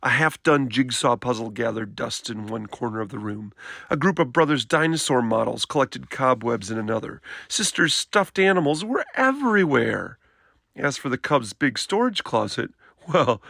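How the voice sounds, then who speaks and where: A man reading a children's picture book aloud in an expressive storytelling style. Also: medium pitch, gravelly, no echo, clean studio sound.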